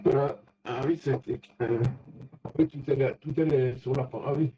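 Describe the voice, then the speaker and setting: A man in a casual technical chat as an amateur radio operator, speaking haltingly and often searching for words. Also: mid-range voice, steady tone. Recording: dull, lo-fi radio contact audio.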